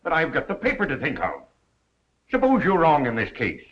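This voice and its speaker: A man's voice: fairly high pitch, wildly swooping pitch, moderate pace, a bit bright. A man playing an anxious, emphatic newspaper boss in the style of 1930s film acting. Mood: exasperated. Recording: dull lo-fi 1930s film soundtrack.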